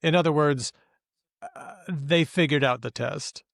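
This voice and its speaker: An enthusiastic man chats on a podcast. His voice sits a little higher than most men's and often leaps up when he gets excited. The recording is dry and close. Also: a little slow, gravelly, no echo. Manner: enthusiastic, laughs often, swoops up in pitch. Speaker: podcast co-host. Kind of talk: casual chat.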